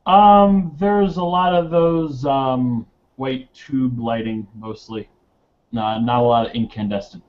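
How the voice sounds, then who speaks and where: A man's voice: medium pitch, slow pace, lo-fi sound. A man in a tabletop roleplaying game, casually describing the scene and thinking aloud in a hesitant way.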